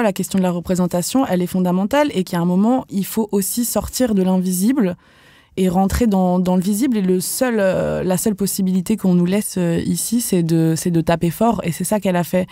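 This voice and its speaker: A young woman from France, a professional dancer, speaking candidly as a podcast guest, casual and animated, with lots of fillers and slang. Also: lowish voice, a little fast, dry recording, studio quality. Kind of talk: podcast interview.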